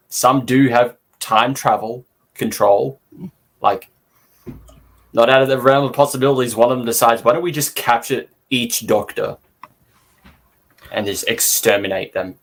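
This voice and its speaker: A man co-hosting a fan discussion in a loose, casual way, haltingly circling back on his phrases. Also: mid-range voice, fairly bright tone, low-quality recording. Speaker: podcast co-host.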